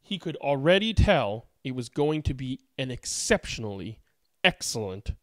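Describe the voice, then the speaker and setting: A storybook reader telling a children's picture book in an expressive, deliberate way with emphatic word stress. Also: medium pitch, no echo.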